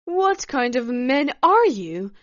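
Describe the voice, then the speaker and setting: A woman storyteller voicing a furious, irritable, demanding woman who snaps and wails loudly. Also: mid-range voice, expressive, very slow, dry recording, highs cut off. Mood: scornful, furious. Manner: loud, snapping, wailing outbursts. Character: furious, shrill, foul-mouthed woman hiker, irritable and demanding.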